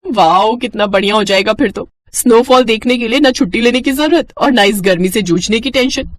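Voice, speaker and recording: Lady doing a spoiled young daughter, high voice, dramatic and pouty, excitable, cartoon moral story, dry sound. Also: fairly quick, no echo, natural voice. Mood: dreamy, excited. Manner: dramatic, pouting, slangy. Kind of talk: animated Hindi moral story dialogue.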